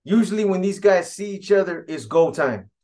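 A middle-aged man with a Californian accent tells a street crime story in a loose, slangy way, talking right to his viewers. His voice sits a touch high for a man. He is recorded on a webcam at his desk in a small room at home. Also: expressive, normal speed, warm tone. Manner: streetwise, conversational, slangy, addresses viewers directly. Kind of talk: casual true crime storytelling.

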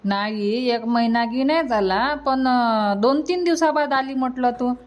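A woman's voice: fairly high pitch, a little slow, lo-fi sound, lightly acted. A woman voicing a homely, earnest young married niece in a cartoon comedy, with a Varhadi Marathi accent. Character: homely young married niece visiting her village family. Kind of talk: cartoon comedy dialogue in rural dialect.

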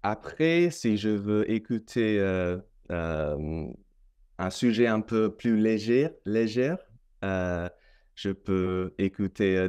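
A young Canadian man, a native English speaker, talking in careful learned French on a video call, with many hesitant pauses. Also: fairly low pitch, darkish tone, smooth. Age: young adult. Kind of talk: casual conversation in learned French. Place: small room at home. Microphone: laptop webcam mic on video call.

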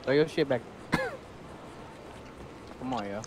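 A man's voice: mid-range voice, takes it slowly, background noise. A young man streaming on a headset, trading profane, slangy roleplay banter in an online game and often repeating his phrases.

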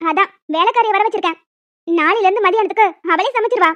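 Woman as grumbling, shrill-pitched older mother-in-law. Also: fast, muffled recording. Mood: indignant. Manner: complaining, scolding. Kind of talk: cartoon family drama dialogue.

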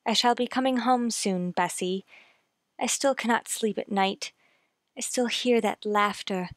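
A woman's voice: mid-range voice, no room sound, lightly acted. A woman playing a frightened, haunted genteel heroine in an old-time radio suspense play, with an earnest, dramatic delivery.

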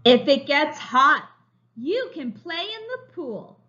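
A woman preschool teacher reading a children's story aloud, expressive and emphatic, as if to young children. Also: high-pitched, slow pace. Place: room at home, indoors. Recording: slightly dull, lo-fi webcam sound.

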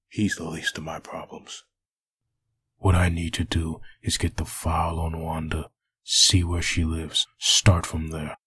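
A man voicing a brooding, vengeful undead antihero in a husky growl, delivering a grim inner monologue for a comic dub. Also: a bass voice, bright, forceful tone, dry recording, heavily acted.

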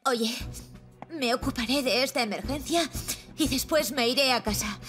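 A woman's voice: high voice, normal speed, close to an everyday voice. A woman voicing a dutiful young female firefighter who is sick with a cold, in a dubbed children's cartoon. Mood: determined, poorly. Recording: cartoon music behind.

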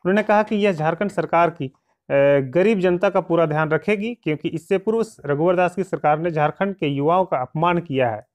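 A man's voice: a little high, a little fast. A man presenting an informal news update as a channel host, explaining things directly to his viewers and at times reading aloud.

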